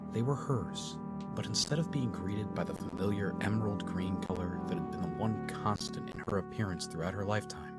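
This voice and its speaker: A man's voice: deep voice, fairly quick, lightly acted. A man reading scripted, suspenseful narration for a fantasy audio drama. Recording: loud music over the voice. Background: loud instrumental score.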